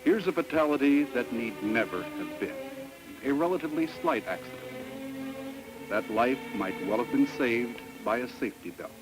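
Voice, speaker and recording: A man's voice: mid-range voice, lively intonation, normal speed, poor audio. Grave vintage film narrator, man, over music. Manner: grave, moralizing. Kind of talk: 1960s educational film scripted narration. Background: background music.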